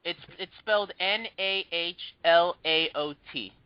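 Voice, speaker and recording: A man's voice: high-pitched, unhurried, smooth, no echo. Male podcast host, rambling delivery full of "um"s, dry lo-fi sound. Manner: rambling, many fillers and restarts. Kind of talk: casual movie news chat.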